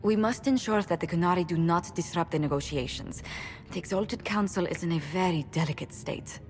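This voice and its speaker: A poised, worried court ambassador from a fantasy video game, played by a woman. Her voice is fairly low and her wording formal and exact. Faint music sits behind her. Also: fairly quick, lightly acted. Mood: anxious, stern. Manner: formal, precise diction. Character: poised, anxious female court diplomat. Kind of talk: video game dialogue acting.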